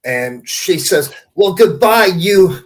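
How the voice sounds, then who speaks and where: A man's voice: sits high, lively intonation, slow. A man hosting a podcast in casual chat, telling anecdotes in an informal, emphatic, sweary way. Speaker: podcast host.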